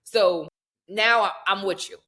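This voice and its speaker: A woman's voice: mid-range voice, unhurried, bright, forceful tone. A woman hosting an interview, affirming her guest and asking long, rambling questions. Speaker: podcast host. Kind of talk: casual interview conversation.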